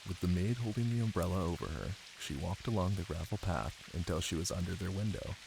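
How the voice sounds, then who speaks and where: Deep, gravelly, tender boyfriend played by a man. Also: noisy background. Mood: soft storytelling. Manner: soft, unhurried, teasing, with murmured pauses. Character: affectionate, playful, ticklish boyfriend reading aloud to partner. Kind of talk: intimate boyfriend roleplay ASMR. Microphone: close microphone. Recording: close, dry, with rain ambience. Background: rain.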